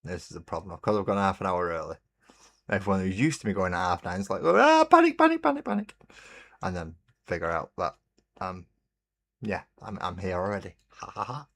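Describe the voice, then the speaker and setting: A man hosting a relaxed hobby livestream, chatting in a rambling way and greeting viewers while he paints. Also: deep voice, fairly quick, gravelly. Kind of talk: casual chat while painting. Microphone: desk mic on a webcam stream.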